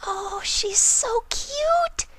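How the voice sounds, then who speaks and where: A woman voicing an excitable young female cartoon pony with a bubbly, gushing manner. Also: very high-pitched, very slow, pitched above the performer's own voice, exaggerated voice. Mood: adoring.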